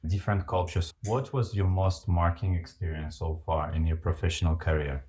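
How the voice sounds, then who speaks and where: A man's voice: low voice, fairly even intonation, normal speed, a little mellow. A man hosting a podcast interview, asking his guests friendly, open questions. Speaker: podcast host.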